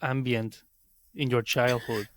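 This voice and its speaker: A man's voice: mid-range voice, little pitch movement, slow pace, no room sound. Man asking interview questions, dry sound. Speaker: host.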